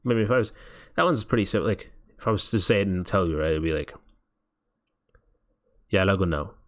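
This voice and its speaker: A man's voice: sits low, expressive, fairly quick. A man hosting a movie watch party stream, chatting casually and reading viewer messages aloud. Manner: rambling, reads viewer chat aloud.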